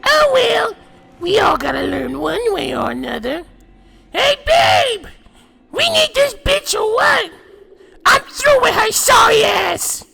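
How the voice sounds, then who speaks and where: Gravelly high-pitched cocky warrior villainess. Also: female voice, sing-song, takes it slowly, shouting, crowd noise, full character voice. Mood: mocking, impatient. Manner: taunting, brash, foul-mouthed. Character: cocky, taunting female Saiyan warrior villain. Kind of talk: anime fan drama acting.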